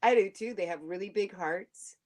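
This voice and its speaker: A woman from Alabama chatting as a podcast co-host, excitable and gushing. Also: mid-range voice, normal speed, crowd noise. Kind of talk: casual chat.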